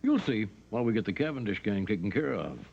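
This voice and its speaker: A man with a bright, theatrical delivery heard from old television audio. His voice leaps widely in pitch, and the sound is dull and lo-fi like an archive recording. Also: big pitch swings, fast talker, gravelly. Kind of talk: vintage TV program audio.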